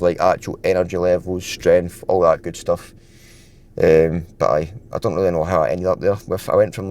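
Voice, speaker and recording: A young man with a lowish voice chats to camera about his fitness routine. He rambles casually and leans on filler words, and his throat sounds dry and a little croaky. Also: darkish tone. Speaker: fitness vlogger. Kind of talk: casual vlog talk to camera.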